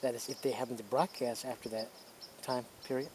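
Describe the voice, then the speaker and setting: A man's voice: mid-range voice, fairly even intonation, a little fast, noisy background. A man presenting as a radio hobbyist, giving casual hands-on demonstration commentary.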